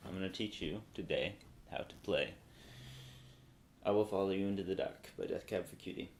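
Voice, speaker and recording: Deep-voiced young man teaching guitar. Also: normal speed, slight background noise. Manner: relaxed, rambling, thinks aloud mid-sentence. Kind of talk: casual guitar tutorial. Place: small bright room indoors, seated with guitar. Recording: echoey room with acoustic guitar.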